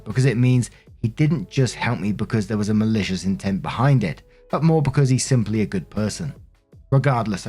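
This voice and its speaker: A man's voice: on the low side, darkish tone. A man narrating online stories aloud as a show host, conversational and informal, with casual commentary.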